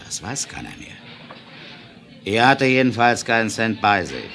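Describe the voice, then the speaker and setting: Gossipy reformed outlaw, low and drawling, swoops around, old radio play. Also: male voice, big pitch swings, a little slow, a bit bright, heavily acted. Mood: confiding, gossipy. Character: gossiping reformed male bandit, ex-Confederate soldier, Wild West. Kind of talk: 1970s German audio drama acting. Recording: noisy old vinyl radio-play recording.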